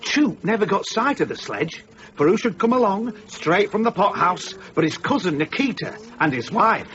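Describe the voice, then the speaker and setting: An actor playing a brisk, offhand village storyteller narrating a radio play. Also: male voice, high voice, animated, a bit bright. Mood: offhand, relishing. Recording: dull radio recording, faint noise.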